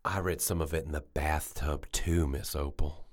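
A man's voice: a bass voice, steady tone, normal speed. An actor playing an earnest, sheltered grown man in a comedic audio drama, awkward and confessional. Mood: earnest, sheepish.

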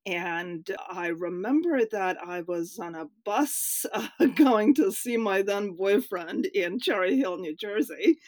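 A woman's voice: medium pitch, lively intonation, slow, hardly any pauses, a little mellow, dry recording. A woman in her fifties from Russia, a writer answering interview questions hesitantly, with many fillers and laughs. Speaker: writer, guest.

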